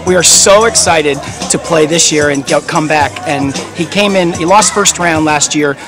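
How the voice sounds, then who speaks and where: A tennis parent from Pennsylvania giving an eager, enthusiastic interview to camera at outdoor courts. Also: male voice, fairly high pitch, normal speed, music in the background.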